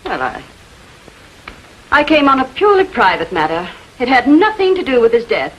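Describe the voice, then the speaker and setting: Indignant 1940s wife, old soundtrack. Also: female voice, sits high, very animated, normal speed, a bit bright, dull audio, slight background noise. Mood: guarded. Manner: clipped, haughty, defensive. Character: defensive, indignant estranged wife, fiercely protective sister. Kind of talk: 1940s filmed crime drama acting.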